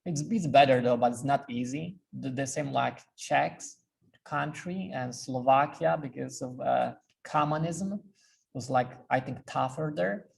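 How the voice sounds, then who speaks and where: A man from Poland with a Polish accent, a priest speaking as a guest and answering questions in a hesitant, halting way with many restarts. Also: medium pitch, gravelly. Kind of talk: casual talk with questions. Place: club meeting room.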